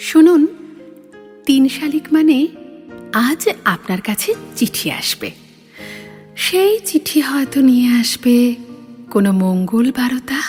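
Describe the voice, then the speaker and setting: A woman playing a poet's gentle, teasing beloved in a recited verse dialogue, with soft, coy phrasing. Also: sits high, big pitch swings, a little slow, long pauses, faint music behind. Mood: caring, hopeful. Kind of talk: dramatic Bengali poetry recitation as phone dialogue.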